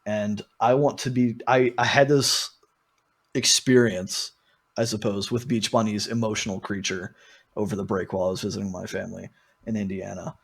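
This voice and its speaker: A man's voice: on the low side, steady tone, moderate pace, creaky, breathy edge. A man hosting a podcast in a casual, rambling and informal way, talking through music with frequent asides. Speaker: podcast host. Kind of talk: casual album discussion.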